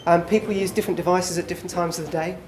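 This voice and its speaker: A man's voice: high-pitched, racing. A man giving a conversational conference presentation to a small group, with lots of fillers. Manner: relaxed, filler-heavy, conversational. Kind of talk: conference talk. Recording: echoey room with faint background noise.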